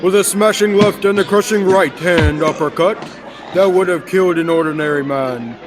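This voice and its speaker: A florid old-time radio announcer, played by a teenage boy. He narrates theatrically in a slightly high male voice. Music runs behind him. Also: normal speed, natural voice. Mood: dramatic. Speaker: student actor, narrator. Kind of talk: scripted dramatic narration.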